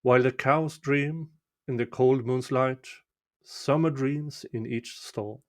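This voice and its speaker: A man recites a folk poem in a storytelling style. His voice sits at an average pitch. He takes his time, placing each short phrase deliberately with measured pauses. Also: fairly even intonation. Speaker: amateur reader of a poem.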